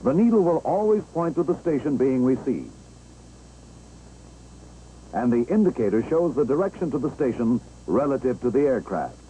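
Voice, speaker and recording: Mellow American training-film narrator, lively pitch. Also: male voice, poor audio. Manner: measured, clear step-by-step explaining. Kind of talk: 1960s instructional film narration. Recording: old 1960s film soundtrack, engine-like background noise.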